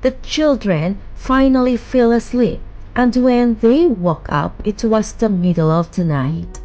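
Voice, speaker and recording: A woman narrating a children's bedtime fairy tale in a measured, expressive storytelling manner. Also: on the high side, highs cut off.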